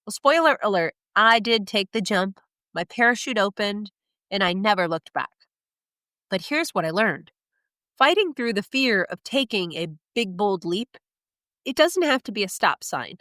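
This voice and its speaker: A woman's voice: medium pitch, lively intonation, moderate pace, pushed and bright, dry recording. Woman host, stuffy and nasal from a cold, upbeat coaching delivery, dry close mic. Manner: motivational, emphatic with rhetorical questions. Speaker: podcast host and career coach. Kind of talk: scripted solo podcast monologue.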